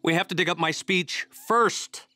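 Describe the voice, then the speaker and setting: An actor voicing a kind, patient adult man in a children's cartoon, explaining things gently. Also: sits high, moderate pace, faint music behind.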